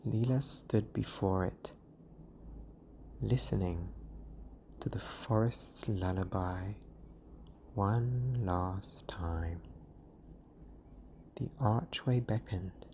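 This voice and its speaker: A storyteller giving a slow, soothing bedtime narration in a gentle, hushed and breathy delivery. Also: low-pitched, slight background noise.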